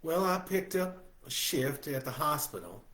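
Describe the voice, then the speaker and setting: A man's voice: fairly high pitch, sing-song, measured pace, a bit bright, voice pushed up. A man voicing a brassy, bossy woman nurse friend who teases and scolds with salty talk. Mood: teasing.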